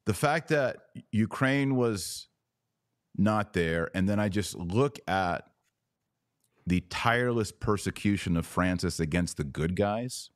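A man's voice: on the low side, animated, slow, no room sound, clean studio sound. A man hosting a conversational religious debate podcast, arguing emphatically with hypothetical examples. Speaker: podcast host.

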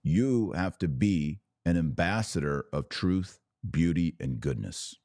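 A man's voice: deep voice, takes it slowly, darkish tone, no room sound, studio quality. A man hosting a religious podcast, speaking straight to his viewers in an earnest, emphatic, preacherly way. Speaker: podcast host. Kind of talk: live religious commentary to viewers, with exhortation and prayer.

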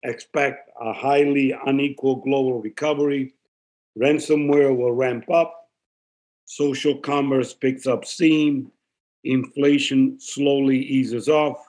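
Man briskly running down a list. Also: mid-range voice, fairly even intonation, a little mellow, low-quality recording. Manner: brisk, reads items off a list. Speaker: panelist. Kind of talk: summarizing a list of predictions.

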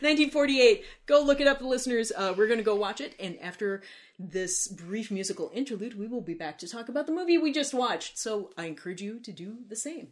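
Lively chatty woman hosting. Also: on the high side, brisk, background noise. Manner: chatty and playful, hums tunes. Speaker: podcast host. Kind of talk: casual film podcast chat.

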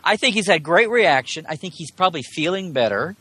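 A man's voice: on the high side, expressive, fairly quick, pushed and bright, husky, no echo. A man speaking as a podcast guest in a casual, rambling chat about sci-fi, wry and jokey in his delivery. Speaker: podcast guest, Star Trek commentator.

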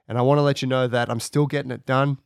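A man with a raspy voice from a cold, speaking conversationally as he introduces his podcast from home. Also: mid-range voice, flat delivery, quick pace, dry recording, studio quality. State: has a cold. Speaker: podcast host.

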